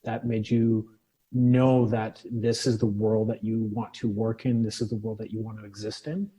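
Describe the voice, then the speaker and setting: A man's voice: fairly low pitch, little pitch movement, moderate pace, dark tone, husky, poor audio. A Canadian man hosting an interview, asking friendly, playful questions. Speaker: host.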